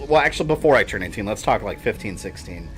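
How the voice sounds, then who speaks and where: A man's voice: on the low side, lively intonation, fast, faint music behind. A man in his thirties chatting casually and rambling while he streams a video game. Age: 30s. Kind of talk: casual chat while gaming.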